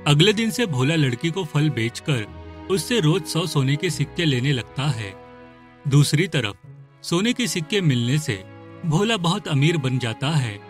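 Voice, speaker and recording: A male narrator tells a scripted cartoon tale. His voice is a little higher than average, and music plays behind him. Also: big pitch swings, unhurried.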